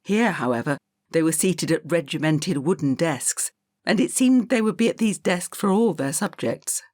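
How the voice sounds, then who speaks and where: Woman, audiobook narrator, measured storytelling, lower voice, dry close sound. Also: expressive, a little mellow, no room sound.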